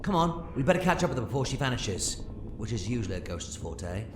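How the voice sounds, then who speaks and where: A man playing a quick-witted, commanding, eccentric time-travelling adventurer in an audio drama. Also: mid-range voice, big pitch swings, echoey room, background noise, close to an everyday voice. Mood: brisk. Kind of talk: full-cast audio drama acting.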